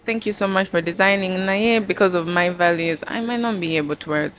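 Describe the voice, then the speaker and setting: A woman's voice: lowish voice, a little fast, fairly bright tone. Young woman, noisy recording. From Uganda. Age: young adult. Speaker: pageant winner being interviewed. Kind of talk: casual interview talk, switching between languages. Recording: clearly noisy, with ambient background sound.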